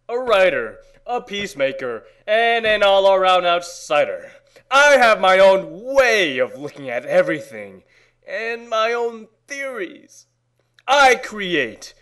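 A man giving a mock-dramatic reading, theatrical and overwrought, with long pauses and swings between hushed and loud delivery. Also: high voice, very animated, clear, resonant tone.

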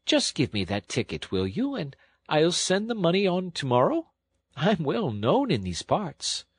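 A man voicing a self-important toad with the lordly manner of a country gentleman in a storybook. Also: on the high side, wildly swooping pitch, a little slow, breathy edge, no echo, pitched above the performer's own voice, exaggerated voice. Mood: haughty. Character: pompous male toad posing as a country gentleman. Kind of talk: storybook character acting.

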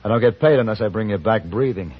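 An actor playing a cool, terse gentleman gunfighter with an American accent in a 1950s radio western. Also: on the low side, fast, breathy edge. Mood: coolly determined. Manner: terse, dry, self-assured. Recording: dull 1950s radio recording.

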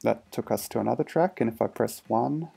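A man's voice: medium pitch, fairly quick, gravelly, dark tone, breathy edge. A man casually narrating a hands-on electronics demo in a hesitant, understated way, thinking aloud. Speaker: electronics hobbyist presenter.

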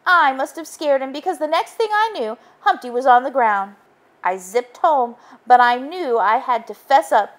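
A woman's voice: high-pitched, pitched above the performer's own voice. A woman voicing a small, anxious, apologetic spider in a storybook. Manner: apologetic, earnest.

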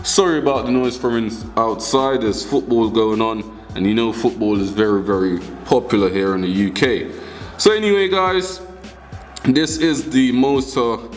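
Young male tech vlogger, casual chatty delivery, mid pitch, indoor table setup. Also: normal speed, soft background music. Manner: chatty, addresses viewers as guys. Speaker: tech channel host. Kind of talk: casual unboxing vlog. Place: indoor room at a wooden table.

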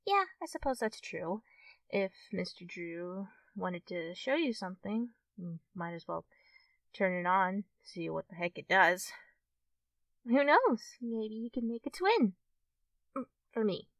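Woman as shy, excitable fox girl for ASMR friend roleplay; halting, unhurried delivery, dry sound, slightly off-mic. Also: mid-range voice, dry recording, far from the mic. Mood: casual, hesitant. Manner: hesitant, halting, giggly, addressing the listener as a friend. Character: friendly young fox-tailed cartoon studio girl, shy, easily excited. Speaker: roleplay performer.

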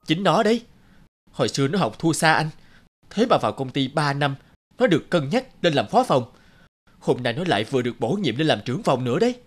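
An actor playing a disgruntled, boastful male engineer in his thirties in an animated film, grumbling and dismissive. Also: on the high side, fairly quick. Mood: bitter, boastful.

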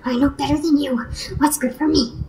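A high-pitched, irritable man played by a woman in a radio play, snapping with open contempt as he turns into a rhinoceros. The recording is roomy, with faint noise. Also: fairly even intonation, brisk, a little mellow, close to an everyday voice. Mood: irritated, snappish.

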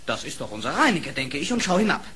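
An actor playing a fiery lynx who serves as court marshal in a fairy-tale audio play, formal and theatrical. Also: male voice, a little high, sing-song, fast, pushed and bright, slightly rough, full character voice. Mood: recounting. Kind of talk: German fairy-tale radio play acting.

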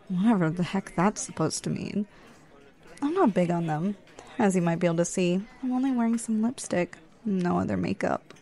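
A woman's voice: medium pitch, darkish tone, lightly acted. Flirty, teasing woman acting on a date. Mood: chatty, friendly. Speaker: ASMR roleplayer. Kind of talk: ASMR roleplay.

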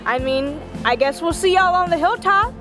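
A woman's voice: very high voice, expressive, normal speed. A young woman in her early twenties from Texas, chatting in an enthusiastic, friendly way as a student co-host of a vlog. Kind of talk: upbeat promotional vlog, casual chat. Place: outdoors on streets and cafe patios. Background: background music.

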